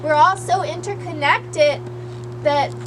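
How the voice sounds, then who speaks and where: A gentle, reassuring lady duck puppet voiced by a woman with a high voice. She explains patiently, in simple words for small children. There is loud noise on the homemade recording. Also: takes it slowly. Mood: sympathetic. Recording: noisy amateur puppet show recording.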